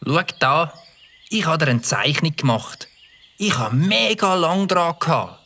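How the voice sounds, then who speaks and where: An actor playing an earnest, good-hearted young schoolboy in a children's audio drama. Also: male voice, a little high, very animated, unhurried, pushed and bright, a little hiss or hum, heavily acted. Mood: pleased.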